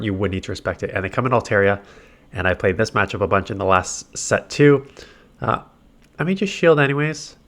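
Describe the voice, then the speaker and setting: Congested man commenting on gameplay. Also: mid-range voice. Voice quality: nasal. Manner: chatty, filler-heavy, thinking aloud. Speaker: gaming commentator. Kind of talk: casual play-by-play commentary over game footage.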